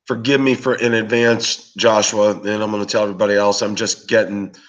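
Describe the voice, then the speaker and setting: Raspy, hoarse man, low voice. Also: little pitch movement, fairly quick, fairly bright tone, lo-fi sound. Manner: rambling, with many fillers and restarts. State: hoarse from bronchitis. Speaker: livestream show host. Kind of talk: casual live-stream chat with viewers.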